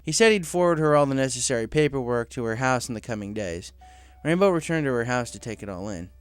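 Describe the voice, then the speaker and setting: A man's voice: mid-range voice, animated, fast, clean tone, no echo. A man reading scripted fiction aloud as a story narrator.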